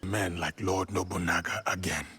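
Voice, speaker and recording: A man playing a solemn, gentle samurai warrior in a feudal Japan game, measured and sombre. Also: deep voice, steady tone, slightly rough, full character voice. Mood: curious, reserved.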